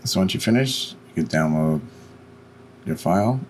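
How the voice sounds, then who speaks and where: A man with a deep voice narrates a software screencast. He explains each step plainly and without hurry, with faint noise behind him. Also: expressive, normal speed, low-quality recording. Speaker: tutorial host.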